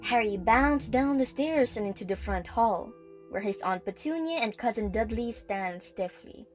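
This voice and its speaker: A woman's voice: a little high, expressive, normal speed. A woman reading scripted narration for an audio drama as its storyteller. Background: music.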